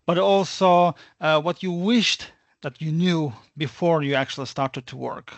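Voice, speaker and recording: A man's voice: on the high side, measured pace, dry recording. A man hosting an online session who asks his guest polite, hesitant questions. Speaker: host. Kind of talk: interview moderator.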